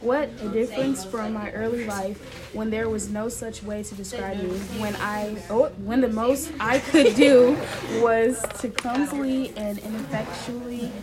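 A young woman, a student, reading a text aloud haltingly and correcting herself as she goes. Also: on the high side, crowd noise. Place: busy classroom.

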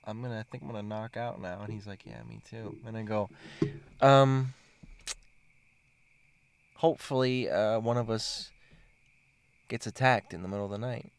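A man's voice: lowish voice, moderate pace, pauses often, clear, resonant tone. A man hosting a casual chat, telling stories in a joking, playful way. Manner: joking, playful storyteller who laughs at his own jokes.

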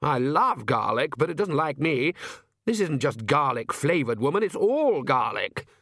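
A man's voice: fairly high pitch, big pitch swings, measured pace, vocal fry, a bit bright, dry recording, studio quality, pitched above the performer's own voice, full character voice. A man voicing a pompous, fussy male party guest in an audiobook, with an affronted manner. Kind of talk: audiobook character voice.

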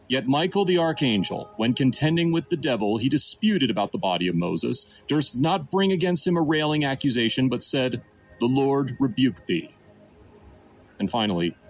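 A man's voice: medium pitch, poor audio. A man delivering a scripted Bible sermon and reading scripture in an emphatic, declarative way. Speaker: Bible teacher and narrator. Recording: music playing under the voice. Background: music.

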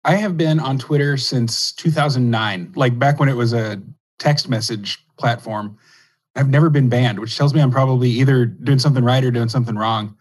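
A man's voice: mid-range voice, moderate pace. A man hosting an interview podcast, laid-back and joking with his guest. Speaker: podcast host. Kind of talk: casual interview chat.

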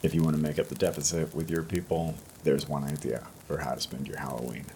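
A man's voice: very deep voice, little pitch movement, fast, mellow, slight background noise. A man hosting and telling a personal story in a casual, conversational storytelling style. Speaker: host and storyteller.

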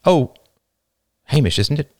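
A man voicing a gentle, earnest and inquisitive young woman with a soft, concerned manner. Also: medium pitch, sing-song, brisk, no echo, clean studio sound, voice pushed up. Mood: earnest, coaxing.

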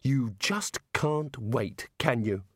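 A man's voice: mid-range voice, a little slow, dry recording, studio quality, voice pushed up, lightly acted. A man voicing a hapless, condemned wizard with a gloomy, sarcastic and hesitant manner, in a storybook reading. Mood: bitter, exasperated.

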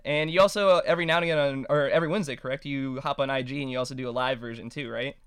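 A man's voice: mid-range voice, steady tone, racing, a bit bright, clear, resonant tone, dry recording. A man hosting an interview podcast, chatty and upbeat in his delivery. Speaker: podcast host. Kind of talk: podcast interview and show intro.

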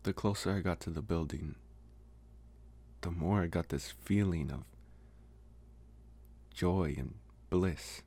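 Man acting a husky, deep young college vampire. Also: heavily acted. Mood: reflective, hesitant. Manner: hesitant, halting, gentle, with pauses. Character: lonely, soft-spoken young male college vampire, politely devoted. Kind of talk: ASMR roleplay, intimate one-sided dialogue.

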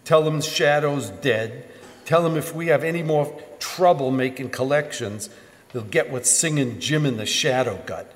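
A man's voice: medium pitch, moderate pace, close to an everyday voice. An actor playing a swaggering, slangy gangster gunman in a live radio crime drama. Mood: gruff, bossy. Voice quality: slangy tough-guy speech. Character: bossy, swaggering gangster gunman, a street tough. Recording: live stage in echoey hall.

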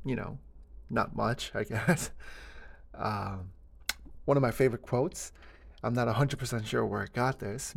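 A man's voice: mid-range voice, vocal fry, breathy edge. A man hosting his own podcast, talking alone in a casual, earnest way with plenty of "uh" and "you know" fillers. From United States (born in Korea). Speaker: podcast host. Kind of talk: solo podcast monologue.

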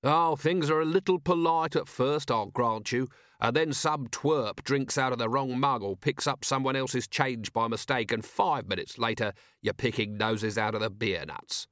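A man's voice: medium pitch, normal speed, hardly any pauses, fairly bright tone, no echo, studio quality, close to an everyday voice. A man as a blunt, cynical watch commander. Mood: arguing. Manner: blunt, plain-spoken. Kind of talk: audiobook character voice.

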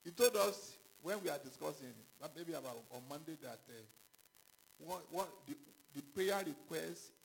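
A man preaching a sermon, halting and repetitive, with questions to the congregation. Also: fairly high pitch, animated, creaky, low-quality recording. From Ghana. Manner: halting, repeats himself, calls out questions to the congregation. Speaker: pastor.

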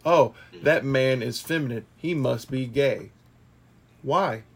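A man co-hosting a casual discussion podcast, blunt and animated, swearing freely. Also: mid-range voice, takes it slowly, breathy edge. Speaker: podcast co-host.

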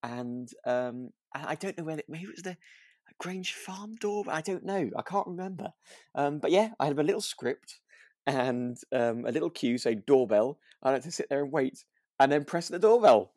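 A man's voice: a little high, animated, normal speed, a little mellow, no room sound, studio quality. A British man co-hosting a podcast, chatting casually and telling stories in a self-deprecating, hesitant way. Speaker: podcast co-host. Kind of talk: casual anecdotal chat.

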